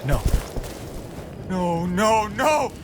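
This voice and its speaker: Higher-voiced, earnest, loyal soldier. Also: male voice, big pitch swings, drawn-out words, heavy background noise, heavily acted. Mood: panicked. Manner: earnest, concerned. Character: loyal, earnest soldier, protective and anxious for friends. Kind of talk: video game drama acting. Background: music.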